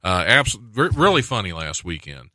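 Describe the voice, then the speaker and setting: Bright-toned male radio host, lively and chatty, dry studio mic. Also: low voice, normal speed, dry recording. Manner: chatty, laughs often, self-deprecating. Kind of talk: casual radio talk. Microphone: studio microphone.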